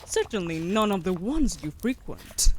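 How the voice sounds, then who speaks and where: A woman playing a sharp-tongued, bossy wolf familiar in a fantasy audio roleplay, speaking in a haughty, sardonic, formal way. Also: medium pitch, very animated, measured pace, slight background noise.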